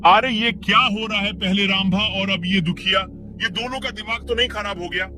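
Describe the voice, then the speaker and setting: A man voicing a brisk, skeptical village man in a cartoon story. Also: high voice, fairly even intonation, background noise, natural voice.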